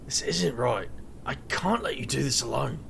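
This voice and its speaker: A man's voice: mid-range voice, lively intonation, moderate pace, gravelly, fairly bright tone, slight background noise. A man playing a bumbling, defensive but loyal friend in an audio drama, wry and earnest in delivery.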